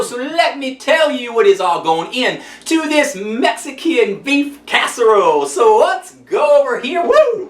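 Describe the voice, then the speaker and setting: A man's voice: sits high, a bit bright. Man doing a gossipy old grandma. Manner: gossipy, folksy, rambling. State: out of breath. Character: chatty sassy old grandma cooking host. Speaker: cooking show host. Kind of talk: comedic character monologue. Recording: roomy sound, mic at a distance.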